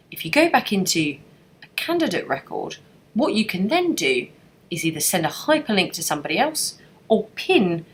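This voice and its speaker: Husky, lively woman giving software tutorial. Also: lowish voice. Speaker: software trainer. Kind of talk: scripted tutorial narration.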